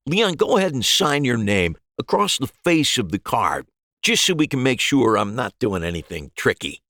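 A man's voice: mid-range voice, big pitch swings, moderate pace, sharp tone, no echo, studio quality, pitched above the performer's own voice. A man voicing a cheerful, reassuring magician with upbeat patter in an audio story. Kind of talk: acted dialogue in an audio short story.

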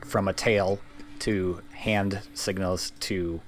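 A man's voice: low voice, steady tone, takes it slowly. A man chatting casually as a player in a tabletop game, feeling out his sentences.

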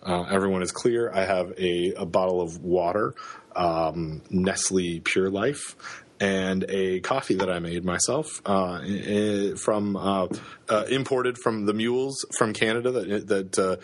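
Husky, deep-voiced man with a cold. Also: steady tone. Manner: halting, many fillers and restarts. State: has a cold, sniffling. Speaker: podcast co-host. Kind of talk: casual podcast chat. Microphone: close studio microphone.

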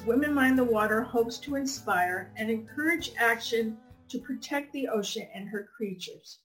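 Higher-pitched woman hosting a podcast. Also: poor audio. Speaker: podcast host interviewer. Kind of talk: scripted introduction and interview questions.

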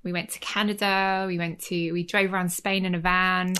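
A woman's voice: lowish voice, flat delivery, moderate pace, sharp tone, clear, resonant tone. A woman, chatting casually as a guest and rambling with fillers and restarts. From Leeds, Yorkshire, England. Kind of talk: casual conversation. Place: home in Cornwall.

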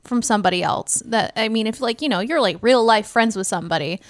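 Female podcast co-host, American, slightly high pitch, informal and explanatory, recorded dry without room sound. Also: fast, dry recording. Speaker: theatre company team member, podcast co-host. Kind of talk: casual conversational podcast talk.

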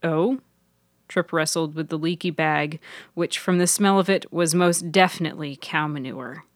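A woman reading scripted fiction as narrator, measured and articulate. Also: low voice, fairly even intonation, no echo, clean studio sound. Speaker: author and narrator.

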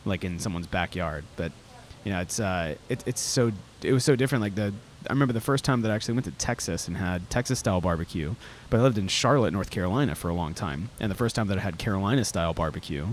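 A man's voice: low voice, lively intonation, quick pace, few pauses. A man from Florida hosting a casual chat, rambling and peppering his talk with "like" and "you know". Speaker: interviewer, host. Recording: clear background noise.

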